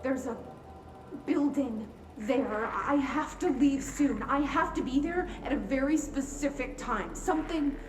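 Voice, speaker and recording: An actress playing a troubled, earnest young female patient in a game, halting yet intense and determined. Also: high voice. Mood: insistent, agitated. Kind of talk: video game cutscene acting. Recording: roomy, distant, noisy.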